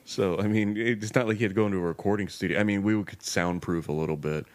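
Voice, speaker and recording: A man's voice: lowish voice, quick pace. A man with a nasal voice hosting a casual podcast chat, joking and pushing his friends along. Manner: animated, joking, pushy. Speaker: podcast host. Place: home garage.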